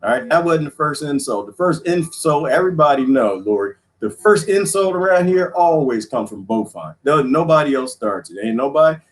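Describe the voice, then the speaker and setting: A man hosting a casual livestream, rambling and joking with viewers, laughing often, his voice going hoarse. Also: fairly high pitch, lively intonation, fairly quick, hardly any pauses. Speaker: livestream host.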